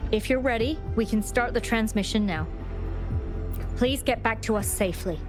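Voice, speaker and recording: A woman playing an earnest, commanding operations leader in a sci-fi game, speaking in a crisp, orderly way. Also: on the high side, moderate pace, over music, natural voice. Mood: earnest, concerned. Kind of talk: scripted game voice acting.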